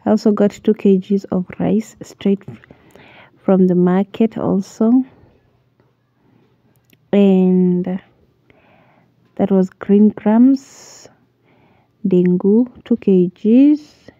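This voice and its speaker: A woman's voice: medium pitch, drawn-out words, long pauses, muffled recording. A young woman with a Kenyan accent, casually vlogging about her day at home and mixing in Swahili phrases. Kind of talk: casual vlog narration. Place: home kitchen.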